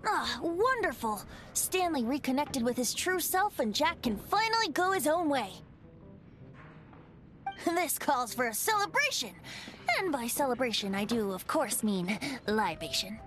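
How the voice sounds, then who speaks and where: A woman's voice: high-pitched, big pitch swings, measured pace, a bit bright, faint music behind, full character voice. A woman voicing a playful, boyish wind god bard in a video game, ancient but youthful, with a lilting, theatrical delivery. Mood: cheerful, teasing. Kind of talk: video game cutscene acting.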